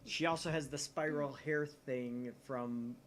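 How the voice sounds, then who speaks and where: A man's voice: mid-range voice, fairly even intonation, unhurried, a bit bright, a little hiss or hum. A man co-hosting a game stream, reading visual novel narration aloud with joking asides. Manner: jokey, reads narration with dry asides.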